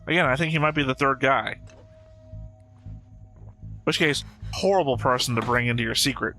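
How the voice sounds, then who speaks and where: A man's voice: mid-range voice, normal speed, pushed and bright, faint music behind. A man hosting a reaction show, commenting casually in a dry, offhand, wry way.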